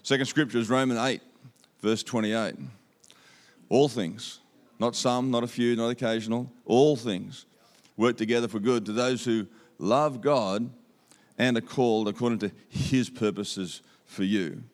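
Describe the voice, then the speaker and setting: A man's voice: mid-range voice, steady tone, measured pace. A man with an Australian accent giving a church sermon as a guest speaker, upbeat and conversational, repeating key phrases for emphasis. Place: church auditorium. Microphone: handheld microphone.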